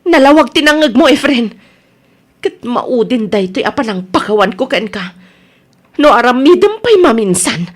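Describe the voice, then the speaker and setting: A high-voiced, aggrieved Filipino wife. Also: female voice, very animated, normal speed. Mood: stern, reproachful. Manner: insistent, scolding. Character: aggrieved, demanding wife, firm and reproachful. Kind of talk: radio drama acting.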